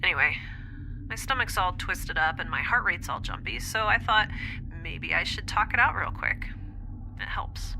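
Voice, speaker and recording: A woman's voice: lowish voice, animated, normal speed, gravelly, noisy background. A woman playing an anxious young scientist in an audio drama, thinking aloud in a hesitant, confessional monologue. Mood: jittery, musing. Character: young woman scientist, anxious, introspective, rambling recorded diary.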